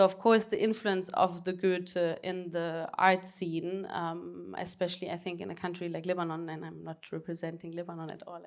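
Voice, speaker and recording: Young German-accented woman for a panel talk, hesitant, lower-pitched, clear smooth tone. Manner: hesitant, many fillers and restarts. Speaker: panel speaker, arts project co-manager.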